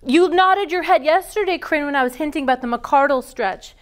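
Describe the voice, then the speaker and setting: A woman with a high, bright voice leads a class of yoga teacher trainees. She keeps firing questions at the group and answers them herself. She sounds middle-aged and is picked up by a camera across a large wooden studio. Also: brisk. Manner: lively, quizzes students with questions. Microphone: far camera.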